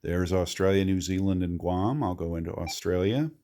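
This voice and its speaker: A man's voice: sits low, normal speed, warm tone. A man giving a casual, folksy, hands-on tutorial as a radio hobbyist, explaining things conversationally.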